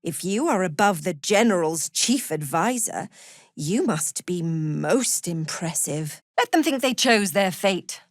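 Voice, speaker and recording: A woman playing a cruel, zealous cult prison warden in a fantasy video game, haughty and sardonic, with measured, threatening delivery. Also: fairly low pitch, lively intonation, dry recording, clean studio sound. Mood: sardonic contempt. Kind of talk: video game character voice acting.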